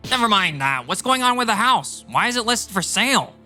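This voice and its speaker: A man's voice: high-pitched, big pitch swings, a little fast, sharp tone, soft background music, exaggerated voice. A man voicing an arrogant, callous, easily irritated husband in a manga dub, dismissive and self-important. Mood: alarmed. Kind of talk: dramatized manga dub dialogue.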